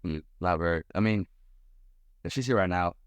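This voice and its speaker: A man's voice: deep voice, moderate pace, smooth, dry recording. An American man of about twenty giving casual, slangy interview answers as a college baseball player. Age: around 20. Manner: informal, slangy.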